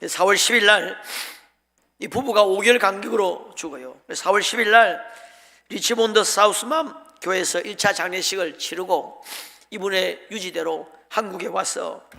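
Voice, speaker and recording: A male pastor delivers a storytelling sermon in a high, bright voice with a gravelly creak at the edges. He speaks emotively and emphatically, setting short phrases apart for weight. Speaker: pastor preaching to a congregation. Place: large church sanctuary during a worship service. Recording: long hall reverb.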